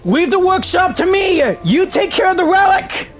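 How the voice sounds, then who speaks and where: Earnest young ally, very high voice. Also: male voice, moderate pace. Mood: urgent. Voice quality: earnest, urgent. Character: earnest young male ally, quick to act. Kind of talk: video game voice acting. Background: game score music.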